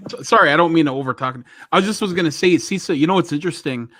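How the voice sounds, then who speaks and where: A man's voice: on the high side, quick pace, no echo, clean studio sound. An American man hosting a podcast, telling a story casually, acting out the dialogue and saying "you know" a lot. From United States. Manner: animated, acts out dialogue, many "you know"s.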